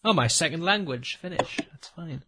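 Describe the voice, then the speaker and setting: Casting note: man with a high, sharp, very expressive voice, quick to react. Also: breathy edge. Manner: animated, quick reactions and laughs. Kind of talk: casual chat about animation.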